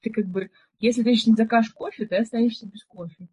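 A young woman co-hosting a podcast, telling stories in a chatty, relaxed way with lots of filler words. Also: on the high side, fast talker, breathy edge. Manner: chatty storyteller, many fillers. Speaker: podcast co-host. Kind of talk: casual chat. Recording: dull, muffled recording.

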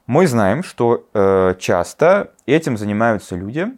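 Podcast co-host, male, lowish voice, unhurried analytical delivery with frequent hesitations. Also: big pitch swings, a little mellow. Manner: thoughtful, hesitant, many fillers. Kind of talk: casual discussion.

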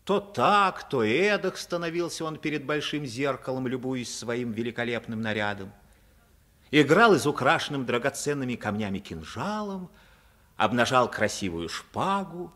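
Male narrator for radio drama, kinda high voice, very animated storytelling.

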